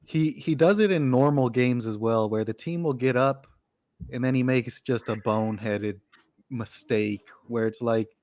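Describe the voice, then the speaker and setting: A man's voice: medium pitch, a little mellow, no echo. A man hosting a sports podcast, talking football casually with lots of "you know" fillers. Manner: conversational, uses "you know" fillers, quick to laugh. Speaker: podcast host.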